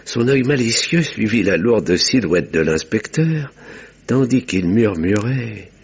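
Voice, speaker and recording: An actor playing a composed, wry village doctor who narrates a 1950s-style French radio mystery in measured, literary, husky tones. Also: male voice, fairly low pitch, expressive, exaggerated voice. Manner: measured, dryly ironic. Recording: dull vintage radio sound.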